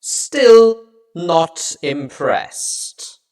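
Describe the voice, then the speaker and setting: A jaded, haughty villainess of a pony mare, drawling slowly and grandly. The voice is pushed up high and swoops theatrically, taunting with mocking contempt in storybook fashion. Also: male voice, lively intonation, darkish tone.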